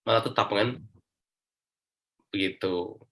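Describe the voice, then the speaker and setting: Low-voiced Indonesian man giving a testimonial. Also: slow pace, fairly bright tone. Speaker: interview guest giving a personal testimonial. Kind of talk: casual spoken personal account.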